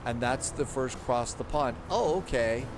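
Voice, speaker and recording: A man's voice: medium pitch, a little slow. Man chatting casually over music. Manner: rambling, thinks aloud with restarts. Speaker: livestream host. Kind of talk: casual live-stream chat reading viewer comments. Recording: clear background music.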